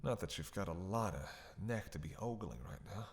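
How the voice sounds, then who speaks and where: A man's voice: low voice, animated, moderate pace. A man playing a captive vampire in a dramatic roleplay, witty, flirtatious and menacing, with a sarcastic, teasing drawl. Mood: flirtatious coaxing. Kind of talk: dramatic roleplay monologue to the listener.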